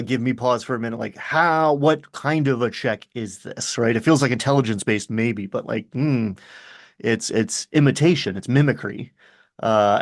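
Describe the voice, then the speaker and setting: A man talking casually as a game master, telling stories in an animated way and acting out little asides. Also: medium pitch. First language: English. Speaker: game master discussing his tabletop games. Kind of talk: casual conversational chat.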